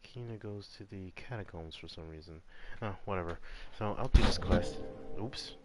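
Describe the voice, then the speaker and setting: A man's voice: sits low, moderate pace, background noise. A man giving casual gameplay commentary, rambling and thinking aloud as he goes. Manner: rambling, thinking aloud, reading game text. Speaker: gamer on a live stream.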